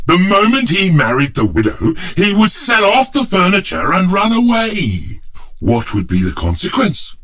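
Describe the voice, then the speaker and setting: A man playing a very old, pompous, cantankerous gentleman, really an enchanted chair, in an audio drama with emphatic old-fashioned speech. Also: sits high, wildly swooping pitch, moderate pace, exaggerated voice. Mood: indignant, insistent. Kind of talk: theatrical audio drama dialogue.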